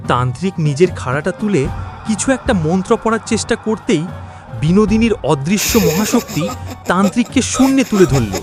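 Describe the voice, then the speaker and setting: A man's voice: high voice, big pitch swings, moderate pace, music in the background. A man narrating a scripted horror story in a theatrical, suspenseful and emotive manner.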